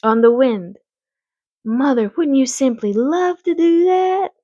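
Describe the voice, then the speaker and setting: Grown woman doing a little girl from a kids book, high voice, eager and kinda defiant. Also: lively intonation, takes it slowly, dark tone, no room sound, lo-fi sound, full character voice. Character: defiant, sociable little farm girl who loves animals.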